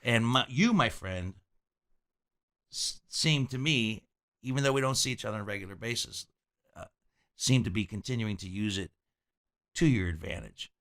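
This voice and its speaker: Middle-aged male host, low, gravelly and bright-edged, probing and reflective. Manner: probing, reflective, stammers on restarts. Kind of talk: probing interview questions.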